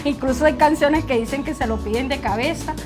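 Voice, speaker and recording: Woman, high voice, Venezuelan accent, informal interviewee, music behind her. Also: a little fast. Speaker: local interviewee. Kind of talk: casual chat.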